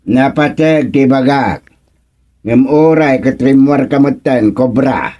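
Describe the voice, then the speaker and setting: A man playing a stern middle-aged village captain in a radio comedy drama, speaking with an Ilocano accent in a measured, chiding way. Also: medium pitch, dark tone, close to an everyday voice.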